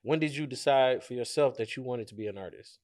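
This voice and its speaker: A man hosting a podcast, reading a scripted introduction and then asking relaxed interview questions. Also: mid-range voice, brisk. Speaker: podcast host.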